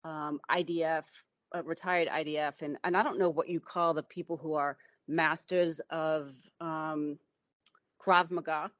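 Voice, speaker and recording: A woman's voice: sits low, fairly even intonation, measured pace, no room sound. Emphatic middle-aged woman host, dry sound. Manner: opinionated, emphatic, rambling. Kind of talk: casual conversation.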